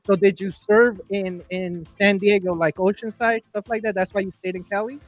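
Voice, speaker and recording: High-voiced slangy male podcast host. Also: fairly even intonation, moderate pace, faint music behind. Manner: loose, slangy, says "bro" a lot. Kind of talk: casual chat.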